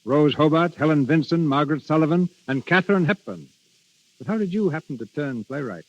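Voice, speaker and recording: A man hosting and narrating in formal, deliberate 1930s radio style. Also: fairly high pitch, animated. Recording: dull vintage radio recording, music behind.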